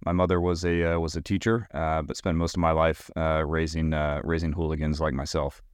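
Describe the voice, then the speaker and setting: A man in his forties from Tennessee talks as an interview guest. His voice is deep and low, his answers are conversational, and the recording is dry. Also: little pitch movement, brisk, clear, resonant tone, dry recording. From Tennessee, United States. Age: 40s. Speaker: podcast guest, army officer.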